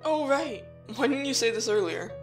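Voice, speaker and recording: A woman's voice: a little high, lively intonation, moderate pace, faint music behind. A woman playing an earnest, take-charge human child in a fan audio drama, direct and practical. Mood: mildly exasperated.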